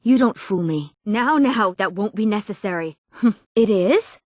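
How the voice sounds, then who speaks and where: A woman's voice: mid-range voice, expressive, unhurried, a little mellow, no echo, clean studio sound. A young woman playing an eager townswoman and bard in a fantasy game, speaking earnestly in short scripted lines. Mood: matter-of-fact. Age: young adult.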